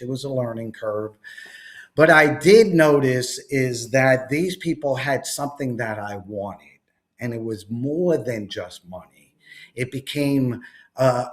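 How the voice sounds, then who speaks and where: A middle-aged man with a Long Island accent, telling his life story as an interview guest, rambling with many fillers. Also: mid-range voice. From New York, USA.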